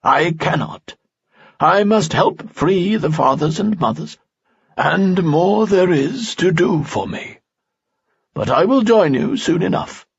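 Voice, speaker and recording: A stern, wise old hermit, as a male narrator puts him on, speaking very slowly and gravely in a raised, husky voice. The sound is dull and lo-fi. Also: high voice, expressive, no echo, voice pushed up, heavily acted. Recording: dull lo-fi recording.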